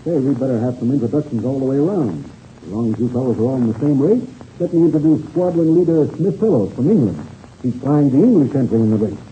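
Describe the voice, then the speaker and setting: Actor as quick, genial man. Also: medium pitch, very animated, lightly acted. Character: genial American man hosting introductions among rival pilots. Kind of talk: 1930s radio serial acting. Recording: muffled, hissy old radio recording.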